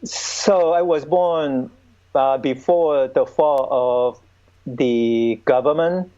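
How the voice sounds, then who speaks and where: A man's voice: mid-range voice, drawn-out words, mellow. A man in his fifties from Vietnam, speaking as an interview guest. He recounts his past hesitantly, with frequent restarts. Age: 50s. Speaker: Buddhist monk, guest. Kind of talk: conversational interview. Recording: dull lo-fi sound.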